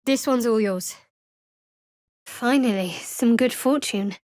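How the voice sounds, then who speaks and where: A young female cleric of a dark goddess for a fantasy video game, speaks in a fairly high voice. She is guarded and sardonic, measuring her words with wry restraint. The sound is dry and close, with no room around it. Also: takes it slowly, no echo.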